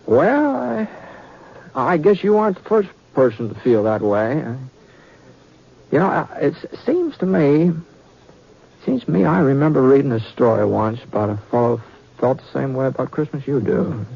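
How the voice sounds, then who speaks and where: An American actor playing a kindly, folksy cowboy drifter in a 1950s radio western, speaking hesitantly with repeated words. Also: male voice, mid-range voice, big pitch swings, normal speed, creaky, dark tone, husky, heavily acted. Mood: gentle, thoughtful. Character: kindly folksy drifting cowboy gunman telling a campfire story. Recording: dull vintage 1950s radio recording.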